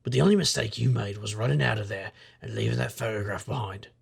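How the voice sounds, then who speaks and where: An actor playing a sardonic, world-weary private investigator, narrating and talking in a dry deadpan noir style. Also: male voice, on the low side, fast, gravelly, breathy edge, heavily acted. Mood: bitter, sardonic. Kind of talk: noir audio drama, first-person narration and dialogue.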